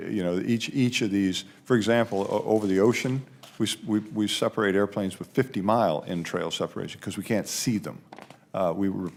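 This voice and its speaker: Low-voiced man giving emphatic testimony. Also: vocal fry, a little mellow. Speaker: aviation official testifying at a hearing. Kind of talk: spoken testimony.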